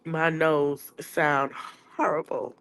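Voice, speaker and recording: A woman's voice: low voice, level tone, fairly bright tone. A woman podcast host with a stuffy nose, recapping a trial in casual chat and calling her viewers y'all. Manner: chatty, drawn-out, addresses viewers as y'all.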